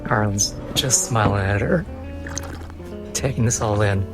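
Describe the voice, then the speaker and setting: A man playing a tabletop game, speaking slowly in character, with music underneath. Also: fairly low pitch.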